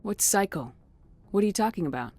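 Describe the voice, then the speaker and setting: An actress playing a steady military starship commander woman in a video game, direct and terse. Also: medium pitch, fairly quick, breathy edge, soft background music. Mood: serious. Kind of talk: scripted game dialogue.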